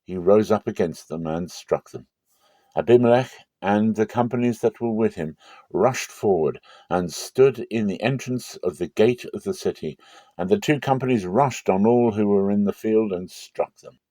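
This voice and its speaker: A man giving a dramatic scripture reading as narrator, with measured, solemn storytelling. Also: on the low side, warm tone, no echo. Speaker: Bible reader.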